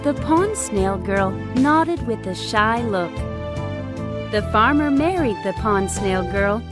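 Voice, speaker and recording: Slow, lively female fairytale storyteller. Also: medium pitch. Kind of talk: scripted children's fairytale narration. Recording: music bed behind.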